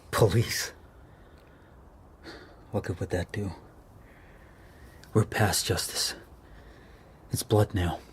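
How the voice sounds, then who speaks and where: An intense young student, hunted and grieving. He speaks haltingly, tripping over repeated words, in radio drama style, with some background noise. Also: sits low, steady tone. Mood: bitter. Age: young adult. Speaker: actor.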